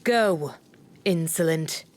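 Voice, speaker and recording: A woman playing an imperious, condescending high priestess in a video game, pious and measured. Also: darkish tone, faint music behind. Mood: commanding. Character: haughty, pious high priestess, disdainful of commoners.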